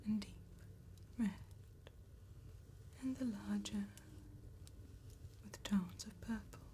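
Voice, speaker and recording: An elegant female vampire acted out by a woman whispers in a gothic ASMR roleplay. Her delivery is extremely slow, mellow and breathy, with formal, courteous phrasing. A steady noise sits faintly behind the voice. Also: medium pitch. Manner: formal, unhurried, precise, gentle. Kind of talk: soft-spoken ASMR roleplay, mostly whispered.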